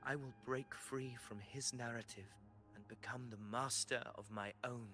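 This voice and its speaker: A man's voice: medium pitch, fairly even intonation, moderate pace, over music, lightly acted. A man playing an earnest, brooding warrior hero in a video game, with formal, solemn and theatrical phrasing. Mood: brooding determination. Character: earnest brooding male warrior hero questioning his own existence. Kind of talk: dramatic video game voice acting.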